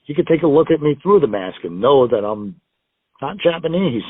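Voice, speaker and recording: A man's voice: on the high side, big pitch swings, normal speed, dry recording. A man from the United States, a wrestler guest, telling stories in a rambling, self-deprecating way and laughing often. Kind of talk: casual interview storytelling. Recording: tinny dry phone line.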